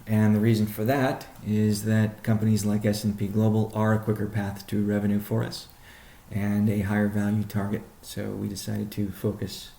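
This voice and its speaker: A man speaking as a company chief executive on a conference call, answering questions in a measured, deliberate, halting way. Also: lowish voice, completely flat delivery, creaky, mellow, echoey room.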